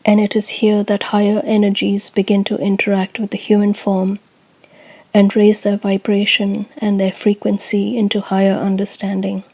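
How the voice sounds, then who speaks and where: A woman reads a scripted spiritual message in a formal, solemn way. Her pitch stays extremely flat and steady from phrase to phrase, with measured delivery. The sound is dry and close, with very little room around it. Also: no echo, low-quality recording. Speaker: channeler speaking as a group of light beings. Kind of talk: scripted spiritual discourse for guided meditation.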